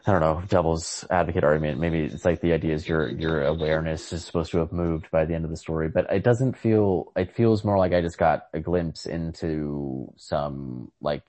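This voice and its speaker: A man's voice: rumbling low, normal speed, few pauses, a little mellow. A man co-hosting a podcast in casual literary chat, speaking tentatively and often repeating himself as he searches for the point. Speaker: podcast co-host.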